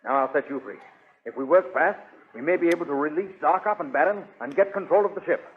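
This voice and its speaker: A man acts out a bold young adventure hero from a 1930s radio serial. His voice is high for a man, with urgent, commanding delivery. It comes through a tinny, narrow vintage broadcast recording. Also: fast, slight background noise, close to an everyday voice. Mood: urgent, hushed.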